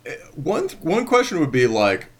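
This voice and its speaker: A man's voice: on the high side, huge pitch swings, moderate pace, slightly rough. A man interviewing a guest in casual conversation, rambling with many hedges and fillers and laughing easily.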